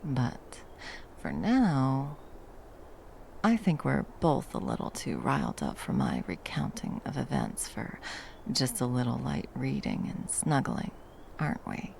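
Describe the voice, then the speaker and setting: A woman playing a battle-worn monster hunter, gruff but tenderly protective, in an intimate ASMR roleplay with soft teasing and drops into whispers. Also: rumbling low, wildly swooping pitch, unhurried, slight background noise, heavily acted. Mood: teasing, affectionate.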